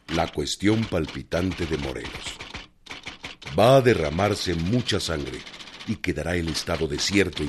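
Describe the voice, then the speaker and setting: An actor playing a grave reader of an old newspaper report in a radio drama, solemn and emphatic. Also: male voice, extremely low pitch. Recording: clearly noisy, clicking background.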